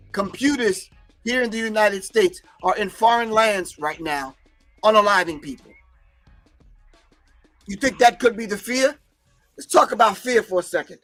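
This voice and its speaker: A man with a high, bright voice hosts a casual livestream, speaking in an emphatic, preachy way and talking back to the chat. English is not his first language. Faint music plays behind him. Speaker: host.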